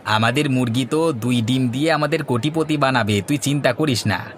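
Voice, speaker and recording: A man as an excitable, doubting cartoon villager. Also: medium pitch, normal speed, slight background noise, natural voice. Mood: hopeful. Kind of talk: comic Bengali cartoon dialogue. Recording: music behind the voice.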